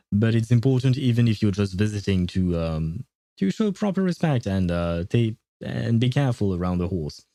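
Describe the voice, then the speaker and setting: Low-voiced, mellow male streamer. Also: dry recording, studio quality. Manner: hesitant, halting, often chuckling. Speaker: livestream host. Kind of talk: casual chat with live stream viewers.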